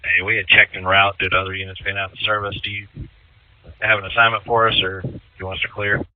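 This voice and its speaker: Deep-voiced man on dry, tinny radio. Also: little pitch movement, quick pace, no room sound. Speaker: fire company officer calling command. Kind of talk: emergency radio traffic.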